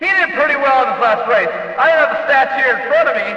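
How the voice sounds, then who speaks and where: A man playing an excitable, showy race announcer at a small-town demolition track in a video game, heard as if over a stadium PA. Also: very high-pitched, fast, exaggerated voice. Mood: upbeat, informative. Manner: showy, emphatic announcer delivery. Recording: echoing, distant, muffled PA-like sound.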